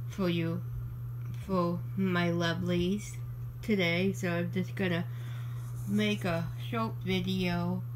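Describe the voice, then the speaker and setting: A woman's voice: mid-range voice, noisy background. A woman with a cold, chatting affectionately in a casual home vlog and often repeating herself, sometimes dropping into a whisper. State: stuffy from a cold. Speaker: beauty collection vlogger. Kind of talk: casual show-and-tell vlog. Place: home, on a bed with a cat.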